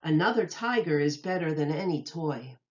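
A woman teacher reading a children's nonfiction book aloud, enunciating carefully and deliberately for young listeners. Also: low voice, lively intonation, a little mellow, muffled recording.

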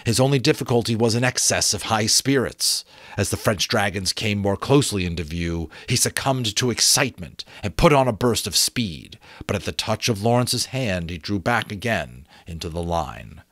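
Middle aged guy narrating an audiobook, lowish voice, expressive, dry close mic sound. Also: moderate pace, dry recording. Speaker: amateur audiobook reader. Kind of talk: dramatic reading of a novel, scripted narration. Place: small indoor room with bookshelves. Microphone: desk microphone up close.

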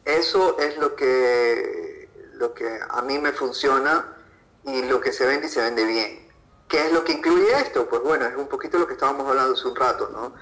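A man's voice: mid-range voice, expressive, moderate pace, fairly bright tone, low-quality recording. A man with an Ecuadorian accent, answering conversationally as an interview guest. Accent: Ecuadorian Spanish. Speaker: podcast guest, freelance photographer.

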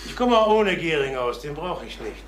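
A man's voice: on the high side, very animated, background noise. Haunted, nervous older salesman. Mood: agitated, bitter. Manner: agitated, halting, brooding. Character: nervous, defensive old travelling salesman confessing to crimes. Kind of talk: radio crime drama acting with confessional narration.

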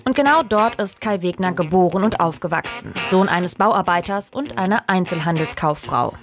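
A woman's voice: on the low side, moderate pace. A woman reading a scripted voice-over for a television news report. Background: music.

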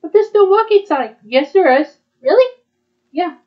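A woman's voice: extremely high pitch, expressive, unhurried. A woman talking in a casual back-and-forth conversation. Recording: dull, muffled lo-fi audio.

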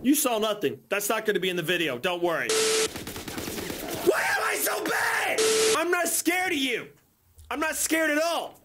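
A young man giving loud, crude comedy commentary over video games, yelling and joking at the screen, recorded on a headset in a bedroom setup with game music behind him. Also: very high-pitched, sing-song, moderate pace, noisy background. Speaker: gaming YouTuber.

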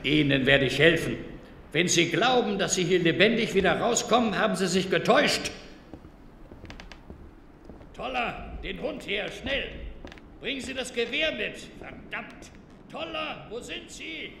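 An actor playing a threatening, villainous middle-aged master of the house in a German audio drama. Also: male voice, sits high, expressive, unhurried, creaky, pauses often, pushed and bright, exaggerated voice. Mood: urgent, angry. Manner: threatening, commanding. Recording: echoey hall, some background noise.

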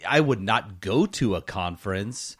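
A man co-hosts a casual gaming podcast. His pitch swings around in an animated way as he jokes along and feigns disbelief, all in loose, conversational talk. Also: measured pace, slightly rough. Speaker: podcast host. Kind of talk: casual comedic podcast chat about gaming.

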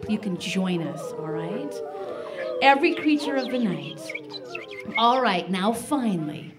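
Slow-paced woman hosting a children's show. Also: medium pitch, lively intonation, background noise. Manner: instructive, encouraging the audience to join in. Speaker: host and narrator. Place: live performance in a library room before an audience.

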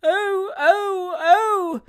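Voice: very high voice, big pitch swings, slow pace, no echo, pitched above the performer's own voice, heavily acted. A narrator voicing a terrified, sobbing little boy in a storybook reading. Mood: frightened wailing. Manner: wailing, frightened.

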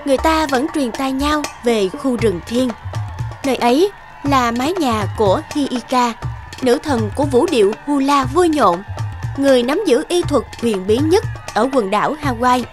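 A woman narrator reading a scripted fairy tale for children. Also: sits high.

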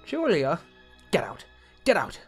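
An actor playing a proud, jealous middle-aged bishop in a radio-style drama, curt and indignant. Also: male voice, on the high side, huge pitch swings, a little slow, breathy edge, soft background music, full character voice. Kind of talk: radio-play style acting.

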